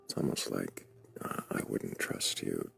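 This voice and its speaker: A man hosting a livestream debate, speaking slowly in a dry, sardonic manner, often dropping to a near whisper. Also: fairly bright tone, soft background music. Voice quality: very low gravelly vocal fry. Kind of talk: livestream chat.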